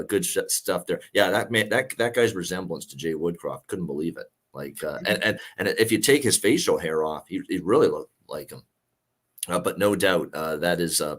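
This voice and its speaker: A man hosts casual sports talk in a lowish voice. It is gravelly and worn from a night of singing, and he rambles along with plenty of filler pauses. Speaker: host of a sports betting show.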